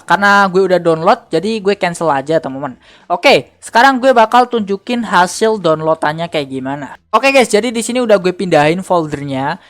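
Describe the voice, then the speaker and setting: A woman with an Indonesian accent presents a screen-recorded tutorial. Her voice sits a little low for a woman. She speaks informally and addresses the viewers directly, using plenty of slang. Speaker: tutorial host.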